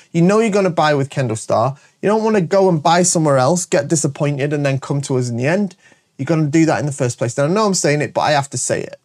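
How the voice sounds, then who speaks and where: A man hosting his show, his voice stuffy and bunged up from a cold, talking energetically and jokily, tagging "all right?" onto his points. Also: a little high. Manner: energetic and jokey, repeating "all right?" often. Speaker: show host and shop owner. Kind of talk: casual promotional monologue to camera.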